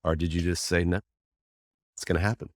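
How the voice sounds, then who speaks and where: A man's voice: deep voice, fairly even intonation, brisk, no room sound. An American man hosting an interview, friendly and enthusiastic, rambling with lots of restarts and fillers. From United States. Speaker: podcast host, interviewer. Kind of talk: casual conversational interview.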